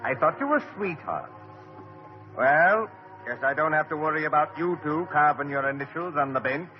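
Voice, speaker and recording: A man's voice: a little high, expressive, moderate pace, faint music behind, lightly acted. An actor playing a blunt, sardonic park policeman on night patrol, in the style of 1950s radio drama, on a dull old broadcast recording. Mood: gruff, sardonic.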